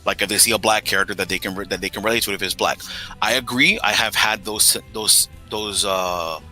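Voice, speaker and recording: A man's voice: lowish voice, fairly even intonation, brisk, pushed and bright, soft background music, low-quality recording. A man from the United States talking in casual chat, explaining steadily with stammering restarts.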